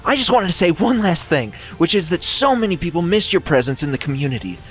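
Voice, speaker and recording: A man's voice: fairly high pitch, animated, fast talker, faint music behind, close to an everyday voice. A man voicing a chirpy female TV news interviewer in a comic script reading, with a sugary, gushing manner.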